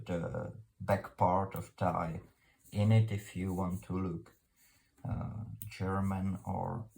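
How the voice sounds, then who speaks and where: A man with a Czech accent, a native Czech speaker, presenting an informal unscripted explainer in a halting, hesitant way with formal phrasing. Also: deep voice, creaky, warm tone. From Czech Republic.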